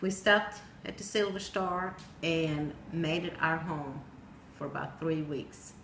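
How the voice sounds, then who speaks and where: A middle-aged woman from Sweden with a Swedish accent, telling a personal story in plain storytelling with pauses and some non-native grammar. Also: sits low, steady tone, fairly bright tone. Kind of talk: personal narrative speech. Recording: echoey room with faint noise.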